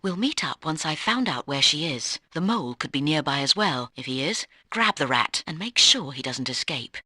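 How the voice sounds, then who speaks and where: An actress playing a brisk, cautioning spy teammate who gives emphatic instructions in a video game. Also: female voice, deep voice, animated, pushed and bright. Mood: urgent, cautioning.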